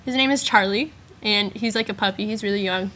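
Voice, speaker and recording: Bright-voiced giggly teen girl. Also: mid-range voice, brisk. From Arizona. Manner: bubbly, giggly, teasing. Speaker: co-host. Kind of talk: casual webcam chat. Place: bedroom at home, indoors. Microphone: built-in laptop webcam mic.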